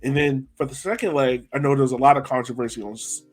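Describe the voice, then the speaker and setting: A male podcast host reading out quick facts in a casual, easygoing way. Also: mid-range voice, steady tone, faint music behind. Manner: easygoing, reads out facts with light laughs.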